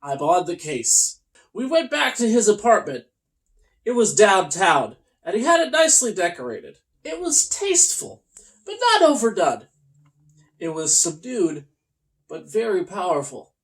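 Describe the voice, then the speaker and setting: A host reading a comic children's storybook aloud in a theatrical, mock-earnest way with deliberate pauses. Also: mid-range voice, big pitch swings.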